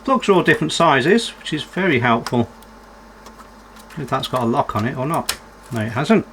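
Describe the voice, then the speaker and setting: A man's voice: mid-range voice, animated, moderate pace, a bit bright, slight background noise. A man giving unscripted running commentary as he works on a repair, musing aloud and putting questions to himself with dry, understated humour. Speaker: electronics repairer presenting a repair video.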